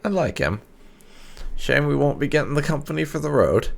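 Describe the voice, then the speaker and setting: A man's voice: a little high, animated, moderate pace, creaky. A man giving casual commentary on his roleplay playthrough of a video game. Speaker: gamer narrating a roleplay playthrough.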